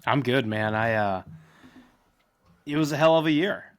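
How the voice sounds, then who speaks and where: A man's voice: mid-range voice, moderate pace. A man guesting on a sports podcast, chatting in a joking, easygoing way. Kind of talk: casual chat.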